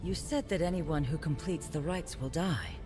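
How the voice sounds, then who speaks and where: A man's voice: fairly high pitch, normal speed, close to an everyday voice. A man playing a resolute, defiant warrior in a video game, speaking curtly and solemnly. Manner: curt, solemn. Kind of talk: dramatic video game cutscene acting. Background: music.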